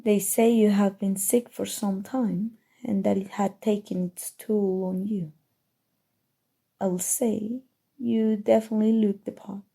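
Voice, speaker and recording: Woman playing an evil sorcerer, very dark mellow tone, creaky, soft and breathy, smug, teasing, coolly menacing, ASMR roleplay. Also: mid-range voice, steady tone. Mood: sly, measured. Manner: soft, teasing, deliberate, drifting into whispers. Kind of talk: ASMR roleplay, scripted character monologue.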